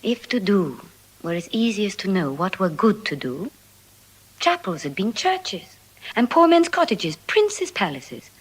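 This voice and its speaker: An actress with a British accent playing a witty, world-weary young heiress in languid classical Shakespearean stage style. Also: female voice, mid-range voice, very animated, husky, low-quality recording. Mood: wry. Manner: languid, sighing, deliberate.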